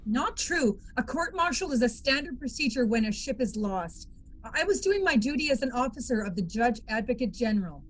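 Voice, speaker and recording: Woman playing a combative, higher-voiced military lawyer. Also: big pitch swings, normal speed, poor audio. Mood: defensive. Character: brisk, teasing, combative female military lawyer. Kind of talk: amateur table read of TV script.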